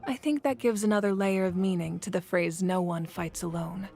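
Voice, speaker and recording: A woman voicing a confident, calm young female leader in an anime fantasy game, composed and assured, with a wry warmth. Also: lowish voice, normal speed, creaky, faint music behind. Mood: reflective.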